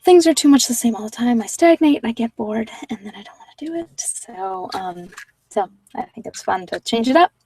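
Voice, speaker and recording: A soft-spoken woman chatting casually as host of a guided ASMR meditation, rambling with pauses and sometimes dropping near a whisper. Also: a little high, lively intonation, husky, no room sound, far from the mic, low-quality recording.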